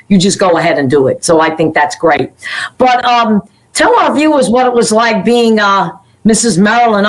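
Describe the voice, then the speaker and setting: A middle-aged woman hosting a talk show, chatting warmly and telling stories about her own life. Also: medium pitch, low-quality recording. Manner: chatty, storytelling, laughs easily. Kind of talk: casual interview chat.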